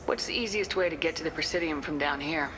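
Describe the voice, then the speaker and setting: Woman as a low-voiced, no-nonsense commander. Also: fast, close to an everyday voice. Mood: businesslike. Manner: direct, dry, probing. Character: composed, no-nonsense female military commander. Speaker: protagonist, military commander. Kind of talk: video game dialogue. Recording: clearly audible ambient noise.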